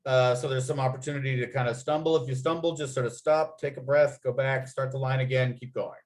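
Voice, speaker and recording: A man's voice: medium pitch, steady tone, a little fast, a little mellow. A man acting as narrator at a table read, reading out script and stage directions.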